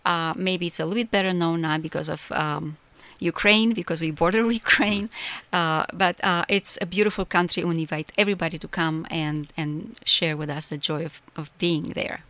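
A woman's voice: lowish voice, fairly even intonation, normal speed, hardly any pauses, fairly bright tone. A woman from Romania with a Romanian accent, a guest on a radio show, talking eagerly with many fillers and restarts. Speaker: festival volunteer guest. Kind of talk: casual radio interview. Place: radio studio.

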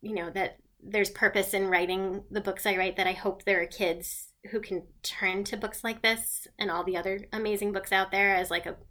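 Earnest woman with a bright voice. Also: mid-range voice, fairly even intonation. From born in Venezuela, raised in South America and the US. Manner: earnest, halting, many fillers. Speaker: children's book author. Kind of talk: radio interview guest.

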